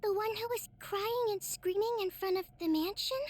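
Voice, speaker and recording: A woman's voice: very high voice, moderate pace, darkish tone. A woman playing a timid, worried little girl in an anime game, with a small anxious voice. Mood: timid worry. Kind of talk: dubbed anime game acting.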